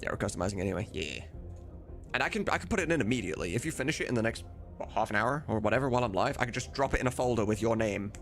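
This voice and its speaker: A man chats casually on a livestream while working. His voice is fairly low with a gravelly fry, and it moves around freely as he mutters to himself and to viewers. Music plays behind him. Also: animated, racing. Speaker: streamer and game modder. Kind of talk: casual livestream work chat. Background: music, computer keyboard typing.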